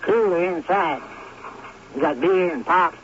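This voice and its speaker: An actor playing a laconic desert gas station attendant in a radio drama. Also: male voice, sits high, unhurried. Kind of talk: 1970s radio drama acting. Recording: noisy, muffled old radio broadcast recording.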